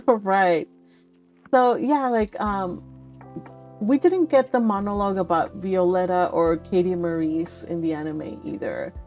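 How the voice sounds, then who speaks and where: A woman's voice: medium pitch, measured pace, darkish tone, faint music behind. A young woman from Mexico hosting a book club, chatty and laughing often, with lots of fillers. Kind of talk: casual chat and reading aloud.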